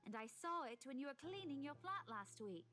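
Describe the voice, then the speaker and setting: Casting: caring, scolding young woman friend in a visual novel, high-pitched voice over light music. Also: expressive, normal speed, sharp tone. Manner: concerned, chiding.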